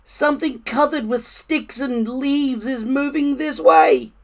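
A reader as an alarmed high-pitched little squirrel. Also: slow pace. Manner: urgent, alarmed. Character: alarmed small woodland squirrel, storybook animal.

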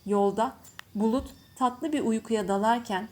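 High-voiced woman narrating a children's story. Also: unhurried, darkish tone. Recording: faint background noise with birdsong. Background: birds chirping.

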